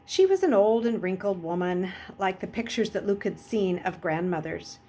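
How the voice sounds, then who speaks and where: Animated woman reading a story aloud. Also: medium pitch, moderate pace, a little hiss or hum. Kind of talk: expressive audiobook-style narration.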